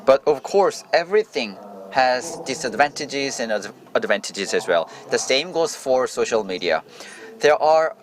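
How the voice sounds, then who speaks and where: A man giving a simultaneous English interpretation of a forum speech, halting and restarting phrases as he goes. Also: medium pitch, lively intonation. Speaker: interpreter voicing a journalist's speech.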